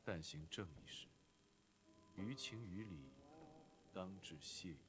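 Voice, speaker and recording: Low, stoic noble. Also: male voice, expressive, slow pace. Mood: calm, firm. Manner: terse, formal, restrained. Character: stoic, righteous young nobleman. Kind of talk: animated period drama acting. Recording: noise and rumble behind.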